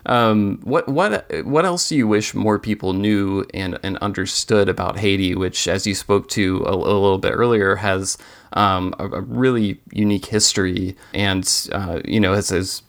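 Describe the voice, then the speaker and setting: A man's voice: low-pitched, fairly even intonation, normal speed, gravelly. A man hosting a podcast interview, asking long, rambling questions with many restarts. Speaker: podcast host.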